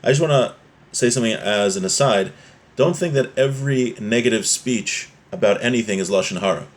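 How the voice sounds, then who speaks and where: Male religious teacher, mid-pitched, informal and explanatory, moving between reading a legal text and commenting on it. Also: a little fast. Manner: explanatory, often checking with "right?".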